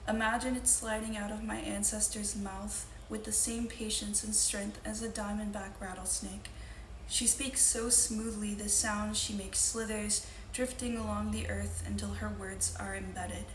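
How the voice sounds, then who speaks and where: A woman's voice: medium pitch, little pitch movement, measured pace, noisy background. Earnest young woman poet, roomy sound. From Louisiana. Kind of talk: poetry reading with spoken introductions. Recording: roomy echo with faint ambience.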